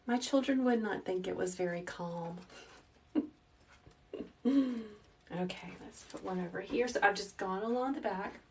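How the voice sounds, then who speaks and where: A woman in her thirties hosting a live wreath-making tutorial, chatting calmly with viewers in a soft teacherly way. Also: medium pitch, measured pace, creaky, pauses often. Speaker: wreath-making livestream host. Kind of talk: casual live craft tutorial. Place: small indoor craft workroom. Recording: slightly distant mic with faint noise.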